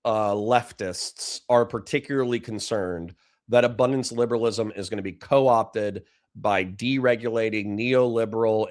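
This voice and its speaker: A man with a dry voice giving blunt, profane political commentary to a livestream chat. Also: on the low side. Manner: blunt, profane, fast restarts.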